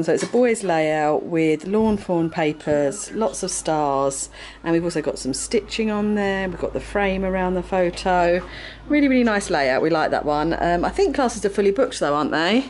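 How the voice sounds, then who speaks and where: A woman's voice: lowish voice, normal speed, a little mellow, a little hiss or hum. An English woman hosting a casual craft vlog, chatty and upbeat, with lots of fillers. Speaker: vlogger, craft shop owner. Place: craft studio and shops.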